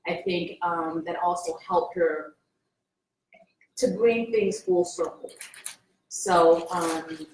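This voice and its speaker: A woman on a panel sharing a family story, soft and reflective with hesitant fillers. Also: lowish voice, fairly even intonation, slow pace, pauses often. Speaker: patient's sister on a panel. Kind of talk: personal story. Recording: roomy hall with faint noise.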